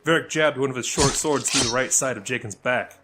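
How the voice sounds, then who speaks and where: A man's voice: medium pitch, brisk, background noise. A man reading scripted fantasy fiction as the narrator of an audio drama.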